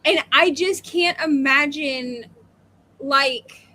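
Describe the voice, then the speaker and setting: A woman's voice: sits high, extremely slow, bright, forceful tone. A woman talking casually as a book discussion co-host, conversational and full of asides and self-corrections. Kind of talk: casual chat, personal anecdotes.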